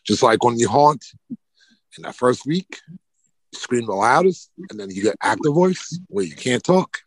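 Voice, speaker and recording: A man chatting casually on a podcast, handing out practical tips with relaxed asides. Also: medium pitch, unhurried, breathy edge, no echo, low-quality recording. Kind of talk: casual advice chat.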